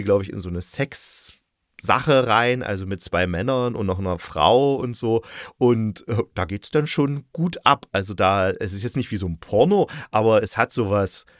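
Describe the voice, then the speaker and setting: A man's voice: on the low side, no room sound, clean studio sound. A middle-aged man giving a casual, unscripted review in a chatty, opinionated way. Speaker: radio play critic and podcast host.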